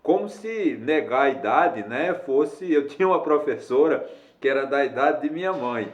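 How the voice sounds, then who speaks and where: A man's voice: mid-range voice, lively intonation, normal speed, roomy sound, lo-fi sound. A man in his sixties, a lawyer giving a casual video lesson, talking in a rambling way with many fillers and self-corrections. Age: 60s. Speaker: lawyer explaining elderly law.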